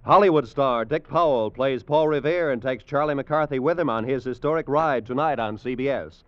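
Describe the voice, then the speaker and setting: A dull vintage radio recording with a dry, close sound. A male network announcer of the 1950s reads scripted credits and notices in a medium-pitched voice. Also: normal speed, no echo, faint music behind.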